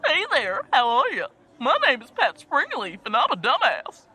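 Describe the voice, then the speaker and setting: Mocking doofus impression, done by a woman: high, lively, goofy, taunting. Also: normal speed, husky, exaggerated voice, rough recording.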